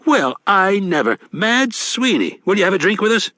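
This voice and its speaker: A man's voice: sits high, very animated, moderate pace, sharp tone, dry recording, studio quality, voice pushed up, exaggerated voice. A man voicing a jovial, sly older con man with a grandiose, teasing manner in an audiobook. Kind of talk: audiobook character acting.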